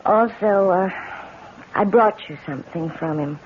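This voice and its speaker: A woman's voice: mid-range voice, unhurried, lightly acted. An actress playing an anxious, emotional young American woman in a 1970s radio drama. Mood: hesitant, subdued. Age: young adult. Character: anxious young American woman, grieving, prone to terrified visions. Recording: dull 1970s radio broadcast, hissy noise.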